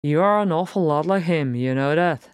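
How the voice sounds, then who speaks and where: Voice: medium pitch, normal speed, clean tone, no echo, studio quality, close to an everyday voice. A narrator voicing an irreverent male dwarf warrior in a storybook, talking in a breezy, sardonic, colloquial way. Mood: wry.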